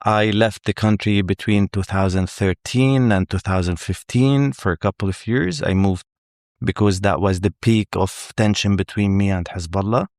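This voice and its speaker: Low-voiced Lebanese man, dry close sound. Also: a little mellow, dry recording. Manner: measured, repeats words while finding them. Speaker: journalist guest. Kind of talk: interview answers.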